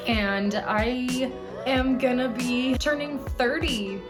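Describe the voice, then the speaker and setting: A woman's voice: high voice, takes it slowly, over music. A woman in her late twenties talking casually to camera, chatty and emphatic as she runs through a list of life lessons. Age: 20s. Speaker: vlogger.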